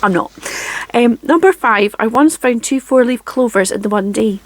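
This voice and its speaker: A Scottish woman in her forties, chatting casually to camera from her home craft room in a chatty, self-deprecating way with frequent ums and soft laughs. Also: fairly high pitch, moderate pace, no echo, poor audio. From Scotland. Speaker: craft channel host.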